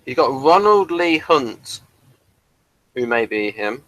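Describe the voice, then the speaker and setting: A man with a mid-pitched, rather sharp and bright voice chats casually as co-host of a fan review. His delivery is halting and hesitant, and he often repeats words as he goes. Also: sing-song, no echo, poor audio. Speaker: podcast co-host reviewing a TV series.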